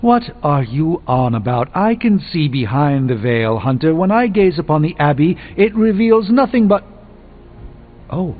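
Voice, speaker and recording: Haughty game sorcerer, thin phone-like audio, highly animated delivery, formal diction, middle-aged. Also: male voice, a little slow. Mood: puzzled, pondering. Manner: pompous, formal, precise diction. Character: erudite, haughty middle-aged male sorcerer, proud and theatrical. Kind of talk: scripted video game acting. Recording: thin phone-like band, music behind.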